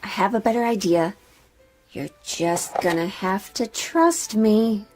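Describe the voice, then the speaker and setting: A woman's voice: medium pitch, slow. Woman narrating a sewing project over music. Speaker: sewing vlogger.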